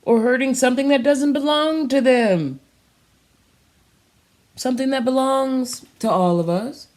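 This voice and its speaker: A woman's voice: sits high, measured pace, darkish tone, voice pushed up. A woman voicing a kindly, patient pig schoolteacher in a children's storybook, earnest and reassuring, explaining things carefully. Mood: earnest, instructive.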